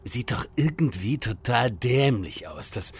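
An actor playing a whiny, self-important wizard with a theatrical, childishly excitable manner in a comedy audio drama. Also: male voice, medium pitch, unhurried, vocal fry, breathy edge, full character voice. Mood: grumbling, self-important.

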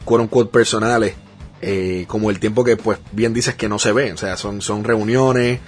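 A man's voice: fairly low pitch, fairly even intonation, normal speed, soft background music. A man, hosting a podcast and asking questions in a friendly, chatty way. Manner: friendly, chatty, calls the guest "mano". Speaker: podcast host, interviewer. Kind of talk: casual podcast interview.

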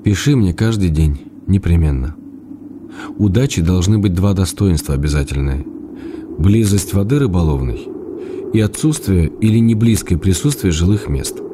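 A man's voice: deep voice, animated, moderate pace, background noise. An actor playing an ailing middle-aged writer, an ironic and tender husband reading his letters in a radio drama. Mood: affectionate. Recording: music playing behind the voice.

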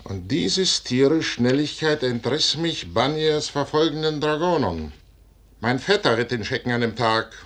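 An actor in a 1950s German radio drama playing a proud, stubborn, visionary general, husky and solemnly declamatory in verse. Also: male voice, mid-range voice, lively intonation, unhurried, a bit bright.

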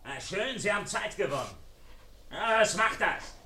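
An actor playing a menacing, taunting intruder outside a locked door in a crime radio drama. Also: male voice, high-pitched, sing-song, normal speed, bright, forceful tone, exaggerated voice. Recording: echoey, distant, heavy noise, old radio play.

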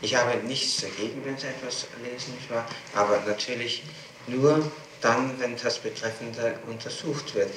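A man speaking as a public prosecutor in formal, halting officialese during a questioning. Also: medium pitch, steady tone. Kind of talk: spontaneous official talk at a questioning. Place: echoing room, distant microphone. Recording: noisy echoey distant 1960s tape recording.

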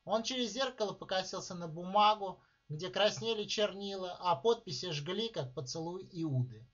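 A man reading his own short story aloud in a measured, deliberate way, with dramatic pauses, at a live reading. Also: high voice, lively intonation, a bit bright. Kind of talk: literary reading of prose. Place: live reading at a writers' club. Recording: dull lo-fi recording.

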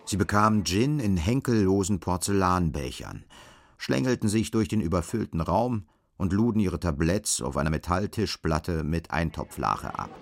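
A man's voice: low-pitched, lively intonation, moderate pace, vocal fry. A man narrating a literary radio drama in scripted prose.

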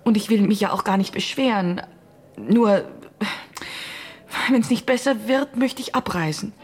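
Anxious, husky middle-aged mother. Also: medium pitch, expressive, measured pace. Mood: worried, apologetic. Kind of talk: naturalistic German radio drama acting.

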